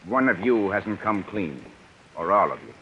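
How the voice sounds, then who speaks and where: A man playing a hard-pressed police captain in an old radio suspense drama, American, questioning in a brisk, authoritative way. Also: low-pitched, big pitch swings. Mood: stern, impatient. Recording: muffled vintage radio, faint rain noise.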